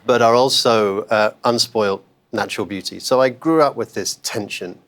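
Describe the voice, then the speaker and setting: A man's voice: on the low side, normal speed, a bit bright. A man speaking as a guest on a podcast interview, talking conversationally with many fillers and self-corrections. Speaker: interview guest, investment manager.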